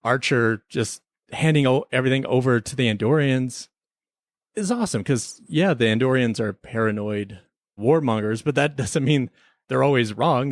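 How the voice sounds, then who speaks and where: A man's voice: medium pitch, no echo. Casual man in a dry-sounding recording. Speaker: podcast co-host. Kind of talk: casual conversational TV episode review.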